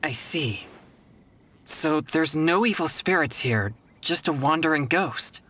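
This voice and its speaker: A man's voice: a little high, animated, takes it slowly, pushed and bright, full character voice. Young exorcist guy, earnest n proper, formal wording, principled, video game voice. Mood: calm, resolute.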